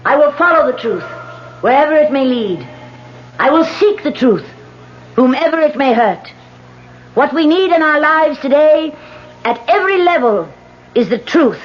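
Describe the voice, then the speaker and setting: An actress playing a woman politician who hides a guilty past, speaking in a halting, evasive way in a 1970s radio drama. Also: high-pitched, animated. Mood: rousing, earnest. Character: woman politician hiding a murderous past, tense. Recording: muffled old radio broadcast with loud hiss.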